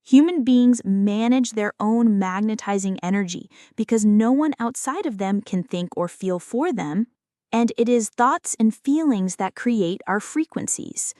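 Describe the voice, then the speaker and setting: A woman's voice: mid-range voice, lively intonation, a little slow, mellow, no room sound. Woman narrating an audiobook, dry close sound.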